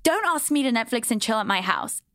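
A woman's voice: a little high, lively intonation, fairly quick, bright, forceful tone, no echo, clean studio sound. A young woman from Switzerland chatting casually as a podcast guest, blunt and opinionated, swearing freely. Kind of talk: casual chat.

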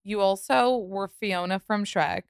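A woman's voice: mid-range voice, unhurried, gravelly, clear, resonant tone, no echo, studio quality. A woman hosting a podcast, teasing and playful with her guest. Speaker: podcast host. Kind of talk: casual interview chat.

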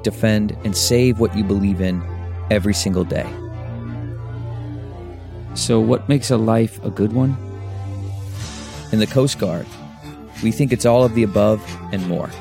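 A man with a low, gravelly voice reads an advertisement script in an earnest, reflective way. Soft music plays under him. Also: normal speed, long pauses. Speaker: ad voice-over. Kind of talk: scripted ad narration. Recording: ad voice-over with music bed.

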